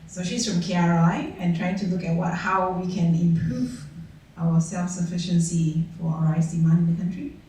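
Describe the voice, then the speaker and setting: A woman's voice: fairly low pitch, normal speed, warm tone. A woman moderating a panel in a conversational way, with many fillers and tag questions. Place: large echoey event hall. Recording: echoey hall, distant mic, faint noise.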